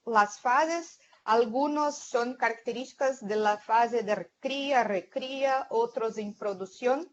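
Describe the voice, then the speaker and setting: A woman's voice: high-pitched, a little hiss or hum. A woman whose first language is Portuguese, with a Brazilian accent, giving a webinar lecture as a technical manager, speaking carefully and correcting herself often. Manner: careful, halting, with self-corrections. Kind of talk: slide presentation lecture. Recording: muffled lo-fi webinar audio.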